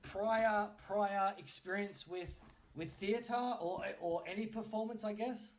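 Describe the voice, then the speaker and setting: A man's voice: high voice, slow, poor audio. A young man hosting a podcast in casual interview chat, joking and bantering as he goes. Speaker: podcast host.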